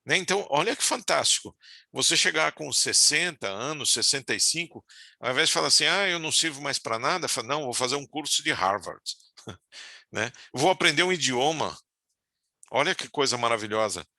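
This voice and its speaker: A middle-aged man talks through spiritual ideas in an easygoing, conversational way, often ending his sentences with little tag questions. His voice is very bright and forward. The sound is close and dry, with no room around it. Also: mid-range voice, animated, fairly quick, no echo. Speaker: spiritual teacher. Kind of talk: casual spiritual talk.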